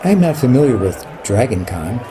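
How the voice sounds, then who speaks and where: A man's voice: mid-range voice, big pitch swings, brisk, crowd noise, natural voice. Sales robot role, male actor: courteous, stiff, formal holographic salesman, radio drama, noisy urban ambience. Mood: stilted, polite. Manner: stiff, formal, uncontracted phrasing. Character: polite, stilted male holographic sales bot.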